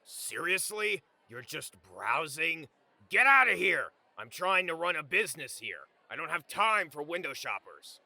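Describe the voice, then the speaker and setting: A man playing a gruff, impatient, muscular fruit vendor with a brusque, scolding delivery in an anime-style fandub. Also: a little high, sing-song, measured pace, sharp tone, no room sound, exaggerated voice. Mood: irritated, dismissive.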